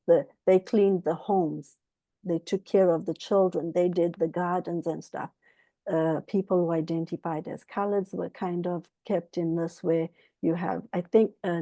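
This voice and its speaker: A woman from Zimbabwe giving a candid, earnest personal account as a guest author at a book club, often halting as she reflects. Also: fairly low pitch, warm tone, no echo.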